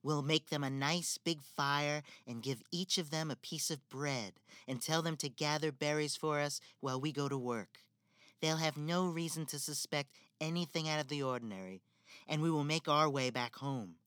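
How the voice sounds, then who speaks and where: A woman's voice: sits low, moderate pace, a bit bright, dry recording, studio quality. A woman playing a cold, manipulative stepmother in a spoken fairy-tale drama. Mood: scheming. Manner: manipulative, icy, theatrical.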